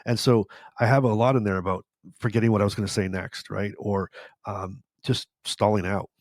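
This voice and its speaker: Tired, slightly raspy man hosting a live Q&A. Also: fairly low pitch, steady tone, brisk, no room sound, studio quality. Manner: rambling, warm-hearted, talks straight to viewers. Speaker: podcast host answering live questions. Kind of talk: live Q&A chat. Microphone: studio microphone with headphones.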